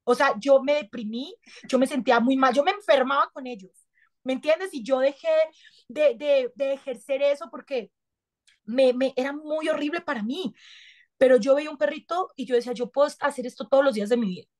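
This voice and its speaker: High-voiced chatty woman. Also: fairly quick. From Colombia. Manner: lively, gestures a lot, chatty with slang. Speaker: actress and TV host, guest. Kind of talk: casual interview over video call. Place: small indoor room. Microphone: webcam on a video call.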